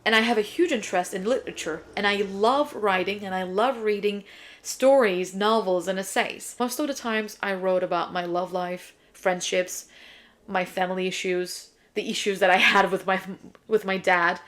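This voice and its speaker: A woman's voice: mid-range voice, normal speed, fairly bright tone. A young woman from Turkey, a native Turkish speaker, talking casually and emphatically to viewers as an English teacher hosting a vlog. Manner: chatty, emphatic, self-described yapper. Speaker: English teacher and video host. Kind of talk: casual vlog chat, answering viewer questions.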